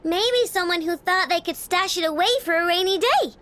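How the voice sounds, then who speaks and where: A woman's voice: very high-pitched, normal speed, fairly bright tone, a little hiss or hum. A woman voicing a perky, boastful young girl inventor in a video game, with a childlike, casual way of talking. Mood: matter-of-fact. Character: excitable, snack-loving young girl inventor, proud household head. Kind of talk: animated video game voice acting. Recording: music behind the voice.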